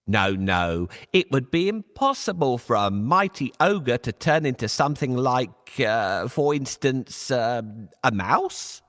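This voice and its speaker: A man's voice: medium pitch, very animated, slow, few pauses, fairly bright tone. A man voicing a sly, bold talking cat who speaks persuasively and theatrically in a children's fairy tale. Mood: flattering, cunning.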